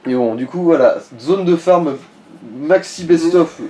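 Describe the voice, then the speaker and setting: A man's voice: a little high, measured pace, mellow. A man giving casual, slangy and crude advice as he comments on a video game.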